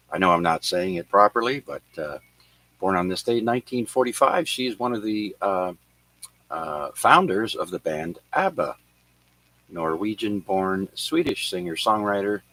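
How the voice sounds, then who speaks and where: A man hosting an amateur radio net, reading notes on who was born and who died on this day, with many filler pauses. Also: lowish voice, a bit bright, no echo. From Canada. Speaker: radio net control host. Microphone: radio transceiver microphone.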